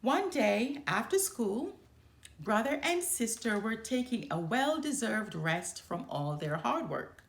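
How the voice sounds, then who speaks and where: A woman reads a children's picture book aloud as its storyteller. Her delivery is expressive and slow, with lively swings in pitch, recorded in a roomy, echoing space. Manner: expressive, deliberate. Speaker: storyteller, channel host.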